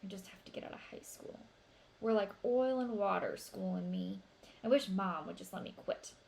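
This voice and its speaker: A woman's voice: medium pitch, normal speed, gravelly, darkish tone, natural voice, low-quality recording. A woman voicing an upbeat, boastful teenage big brother in a storybook read-aloud, with playful, emphatic delivery. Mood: deflated.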